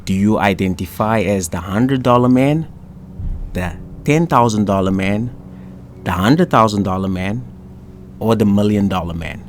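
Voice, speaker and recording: A man co-hosting a casual coaching chat about self-concept, emphatic and fond of repeating phrases and saying "boom". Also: a little slow, a little hiss or hum. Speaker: manifestation coach, co-host.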